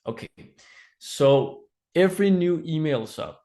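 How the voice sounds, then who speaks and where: A man's voice: a little high, lively intonation, a little slow, warm tone. Danish-accented man teaching an online training. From Denmark. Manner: informal, explanatory, checks in with listeners. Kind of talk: informal live online training presentation.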